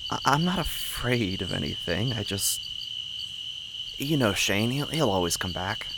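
A man's voice: fairly low pitch, animated, unhurried, very noisy. A man playing a nervous, reluctant teenage boy in an audio drama, hesitant and stammering.

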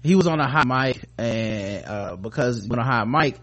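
A man's voice: mid-range voice, animated, normal speed, fairly bright tone. A man hosting a podcast with casual commentary, talking in a rambling, informal way full of slang and swearing. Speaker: podcast host.

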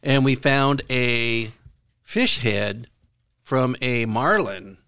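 A man's voice: mid-range voice, very slow, sharp tone, clean tone, no echo, studio quality. A middle-aged man co-hosting a podcast, chatting casually with a joking, anecdotal manner. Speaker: podcast co-host. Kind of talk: casual chat.

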